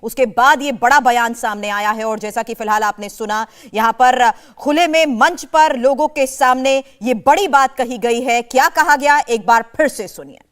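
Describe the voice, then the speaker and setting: A woman news anchor with an Indian accent speaks in a fairly high voice. She presents urgently, running clauses together into long, emphatic sentences. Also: moderate pace, few pauses.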